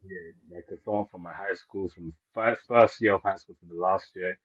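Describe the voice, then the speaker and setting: Very deep husky man from Nigeria. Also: fairly even intonation. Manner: earnest, halting with repeated words. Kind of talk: casual chat. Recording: muffled lo-fi audio.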